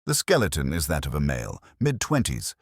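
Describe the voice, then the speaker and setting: Medical examiner, done by a male narrator, very low and animated, clinical, formal, gravely keen. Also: a little fast, no echo, studio quality, exaggerated voice. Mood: grave enthusiasm. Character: short, gravely enthusiastic male doctor examining remains.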